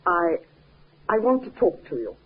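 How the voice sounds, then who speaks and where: Prim, husky meddling woman. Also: on the low side, big pitch swings, moderate pace. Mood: stiff, disapproving. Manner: stiff, disapproving, dutiful. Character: prim, meddling, insinuating female relative. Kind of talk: 1950s radio drama acting. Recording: muffled, tinny 1950s radio recording.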